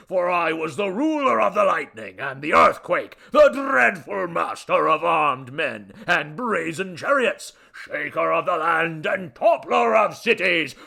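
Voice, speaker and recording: Man acting a pompous, booming little idol god. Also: creaky, a bit bright, full character voice. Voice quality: rusty booming foghorn bass. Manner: grandiose, formal, declaiming.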